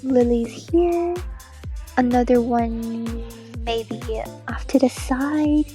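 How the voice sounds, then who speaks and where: Woman, mostly American accent, high voice, slow pace, casual stream chat, playful. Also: warm tone, soft background music. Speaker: streamer, digital artist. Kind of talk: casual livestream chat while drawing.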